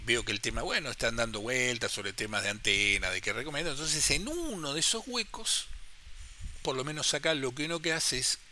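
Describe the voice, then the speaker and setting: Bright-voiced Argentine man explaining radio. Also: mid-range voice, a little hiss or hum. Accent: Argentine Spanish. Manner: explains step by step, asks listeners if they follow. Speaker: amateur radio instructor. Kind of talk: casual explanatory tutorial.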